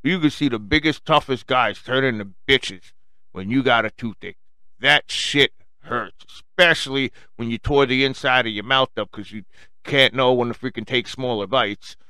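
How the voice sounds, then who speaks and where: A man hosts a casual solo show in a strong Long Island accent. His voice is forceful and clear, and he rambles bluntly, swearing and using slang as he talks straight to his listeners. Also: medium pitch, normal speed, no echo. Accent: strong Long Island New York. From Long Island, New York, United States. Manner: blunt, profane, slangy, addresses listeners as folks. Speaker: podcast host addressing listeners.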